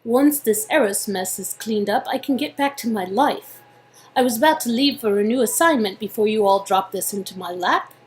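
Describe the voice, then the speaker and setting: Woman playing a blunt, high-voiced undercover agent. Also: normal speed, a little hiss or hum, close to an everyday voice. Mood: dismissive.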